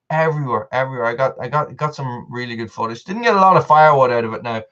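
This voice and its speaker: A man's voice: medium pitch, fast, dull audio. Rambling man on a live stream. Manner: rambling and excitable, laughs often, repeats words. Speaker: channel host on a live stream. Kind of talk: casual live-stream chat answering viewers.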